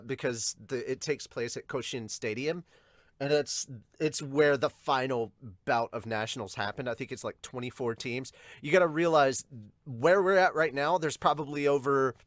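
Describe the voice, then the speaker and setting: A man hosting a casual reaction show, reading along and commenting as an excitable, sweary fan. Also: mid-range voice, no room sound, clean studio sound. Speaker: podcast host reacting to a manga. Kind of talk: casual reaction and amateur manga dub read-along.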